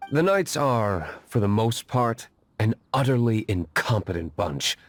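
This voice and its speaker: An actor playing a brooding, wealthy vigilante in a video game dub, curt and formal with a dry, disdainful edge. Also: male voice, lowish voice. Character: brooding wealthy male vigilante, aloof and stern.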